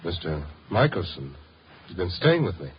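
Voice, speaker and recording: Nervous gentleman client, stammering, agitated, animated pitch, old radio drama, dull hissy sound. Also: normal speed. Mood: defensive. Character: nervous, worried male club resident seeking help. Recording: muffled, noisy 1950s radio recording.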